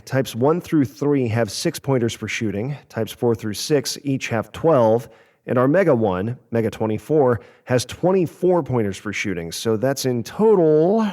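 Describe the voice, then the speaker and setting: Male actor for matter-of-fact spaceship tech, mid-pitched, explanatory delivery, audio drama. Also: warm tone, lightly acted. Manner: measured, explanatory.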